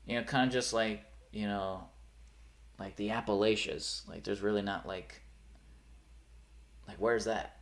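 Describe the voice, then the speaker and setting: A man's voice: on the low side, a little slow, vocal fry, pauses often. A young man with a faint rural Pennsylvania accent, chatting casually on a live stream, often trailing off and restarting his sentences. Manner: rambling, self-correcting, trails off.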